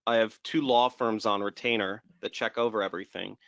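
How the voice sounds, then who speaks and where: A man hosting a webinar Q&A over a desk mic on a video call. His voice is bright and forceful, and he answers in a brisk, assertive way. The sound is dry and close, with no room echo. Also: lowish voice, fairly even intonation, vocal fry, lo-fi sound. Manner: brisk, assertive, interrupts to wrap up. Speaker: course host answering student questions. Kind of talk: live webinar Q&A.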